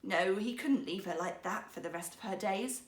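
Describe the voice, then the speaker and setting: A woman's voice: mid-range voice, normal speed, distant mic. A woman storyteller reading a fairytale aloud in a theatrical style. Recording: roomy, echoing sound.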